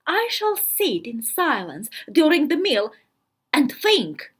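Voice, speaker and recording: A woman voicing a self-important, earnest fourteen-year-old girl diarist, reading dramatically with deliberate pauses and emphasis. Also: high-pitched, big pitch swings, heavily acted. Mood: solemn, self-important. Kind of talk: dramatic literary reading of a diary.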